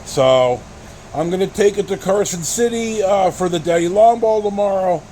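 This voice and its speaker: High-pitched male voice, American accent, middle-aged, rambling casual vlogger, halting and blunt, faint steady vehicle noise. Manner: halting, blunt, mildly profane. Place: inside a pickup truck on the road.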